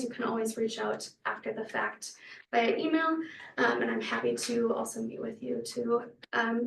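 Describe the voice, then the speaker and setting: A woman's voice: a little high, creaky, slight background noise. Woman program manager welcoming in an echoey room. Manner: friendly, filler-heavy. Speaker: study abroad program manager. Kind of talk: session host welcome. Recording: echoey room, distant mic.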